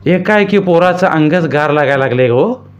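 A man's voice: on the high side, steady tone, unhurried, darkish tone, pitched above the performer's own voice, close to an everyday voice. A man voicing a timid, worried village mother who pleads anxiously. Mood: anxious pleading.

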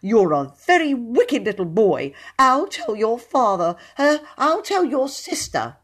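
A prissy, pompous thin poet of a man, put on by a woman reading a storybook aloud, his voice pushed up high and thin. He fusses and stalls over his words with little uh's, fluttering up and down in pitch. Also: big pitch swings. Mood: reproving. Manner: fussy, halting with many uh's. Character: prim, pompous thin male would-be poet.

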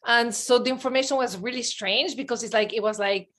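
A woman in her forties, born in Belgium, a podcast guest telling lively stories and acting out her past self in excited bursts. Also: on the high side, fairly quick. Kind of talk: casual interview chat.

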